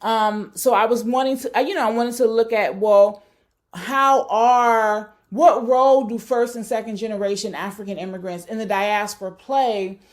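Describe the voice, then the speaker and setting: A woman's voice: fairly high pitch, fairly even intonation, moderate pace. A woman giving a thoughtful research talk, searching for words and often correcting herself. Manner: thoughtful, searching, many fillers and self-corrections. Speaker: academic speaker.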